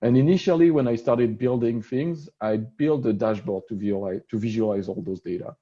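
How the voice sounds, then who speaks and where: A man with a French accent giving a casual, relaxed tech demo over an online meeting, with frequent "uh" fillers. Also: mid-range voice, brisk, muffled recording. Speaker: solutions architect presenting a demo. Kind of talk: casual screen-share tech presentation.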